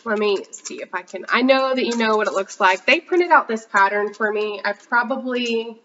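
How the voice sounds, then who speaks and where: Congested, chatty female host, bright forceful tone, slightly dull lo-fi audio. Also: fairly high pitch, moderate pace, a little hiss or hum. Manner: chatty, rambling, many fillers. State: congested from a cold. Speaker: podcast host. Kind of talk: casual chat showing yarn haul.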